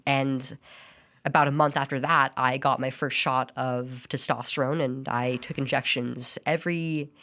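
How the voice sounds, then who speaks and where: A woman's voice: a bass voice, fairly even intonation, measured pace, fairly bright tone. A young adult woman telling her personal story as a podcast guest, earnest and often restarting her sentences. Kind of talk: personal testimony in a podcast interview.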